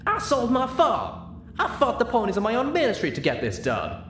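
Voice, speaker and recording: A man's voice: very high-pitched, huge pitch swings, moderate pace, fairly bright tone, roomy sound, slight background noise, pitched above the performer's own voice, full character voice. A man voicing an older cartoon-style pony mare in an audiobook, a folksy, emphatic country boss, strained with fury and heartbreak. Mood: furious, anguished.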